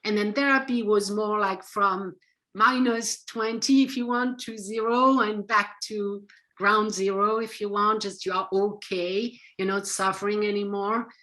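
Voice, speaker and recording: A woman's voice: medium pitch, fairly even intonation, measured pace, bright, forceful tone, rough recording. A middle-aged French-born woman with a French accent, a psychotherapist answering interview questions earnestly and with conviction. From France. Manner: earnest, emphatic, explains with conviction. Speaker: psychotherapist guest.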